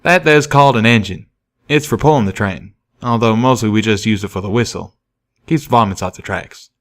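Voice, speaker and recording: A man voicing an affable rustic train-worker pony who explains things in a simple, folksy, drawn-out way for an audiobook. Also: on the low side, fairly bright tone. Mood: happy explaining. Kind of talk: audiobook character voice.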